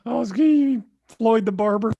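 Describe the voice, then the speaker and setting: A man co-hosting a podcast, talking casually about films in an earnest, halting way. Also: very high voice, creaky, warm tone, no echo, muffled recording. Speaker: podcast co-host. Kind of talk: casual film chat.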